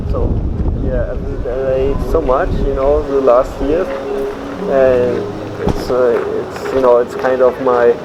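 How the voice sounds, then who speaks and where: A man in his forties from Germany, a native German speaker with a German accent, talking haltingly and casually and leaning heavily on "you know". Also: mid-range voice. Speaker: interview guest. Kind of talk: casual interview chat. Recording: noisy background with wind on the mic.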